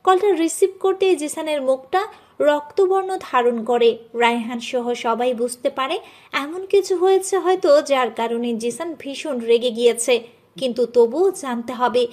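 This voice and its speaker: High-pitched woman narrating a story. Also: a little slow, darkish tone. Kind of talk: scripted dramatic story narration.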